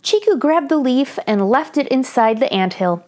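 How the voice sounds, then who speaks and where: A woman reading a children's story aloud in an expressive, deliberate storytelling style. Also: high voice, soft background music. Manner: animated, deliberate storytelling delivery. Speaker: children's story reader, channel host.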